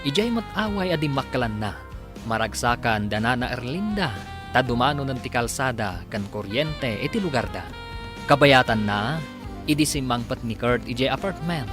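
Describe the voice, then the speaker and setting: Male narrator for a radio serial, mid-pitched, telling the story over clear background music. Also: animated, moderate pace. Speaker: drama narrator. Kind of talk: scripted radio drama narration. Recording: music laid under the voice.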